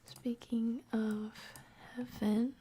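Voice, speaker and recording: A woman live streamer chatting casually with viewers, soft-spoken and often slipping into a breathy near-whisper. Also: a little high, little pitch movement, extremely slow, slight background noise. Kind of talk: casual livestream chat with viewers.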